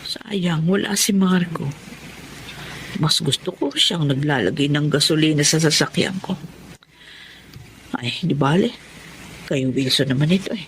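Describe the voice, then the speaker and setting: A woman's voice: deep voice, unhurried, long pauses, a little hiss or hum, poor audio. An actress playing a trusting, gracious woman customer in a Filipino melodrama. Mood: relaxed. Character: grateful, trusting woman customer of a gas station.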